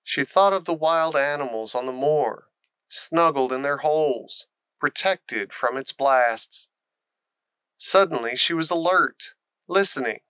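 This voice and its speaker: Guy reading a kids storybook, really slow and clear, bright voice. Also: fairly high pitch, no room sound. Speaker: storybook reader. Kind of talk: scripted children's story narration.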